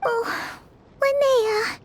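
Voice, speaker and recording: Squeaky little childlike companion. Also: female voice, fairly even intonation, drawn-out words, heavily acted. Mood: concerned, curious. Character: small, childlike, expressive anime companion. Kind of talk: anime-style video game voice acting.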